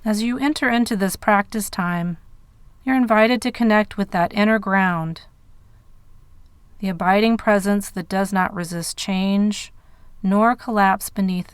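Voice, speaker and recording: Very smooth, clear woman guiding meditation. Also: mid-range voice, no room sound. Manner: measured, unhurried phrasing with pauses. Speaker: meditation guide. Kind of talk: guided meditation narration.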